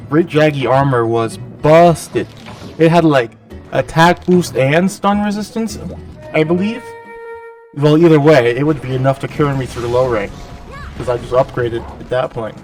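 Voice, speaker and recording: A man with a cold and a nasal, slightly gravelly voice, giving chatty, self-deprecating voice-over commentary over gameplay. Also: medium pitch, far from the mic. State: has a cold. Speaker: gaming channel host.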